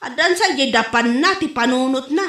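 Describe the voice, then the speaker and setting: A woman's voice: high voice, expressive, moderate pace, fairly bright tone. A woman with an Ilocano accent playing a brash, judgmental, exclamatory gossip in a comedy drama. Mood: scornful. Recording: roomy, echoing room sound.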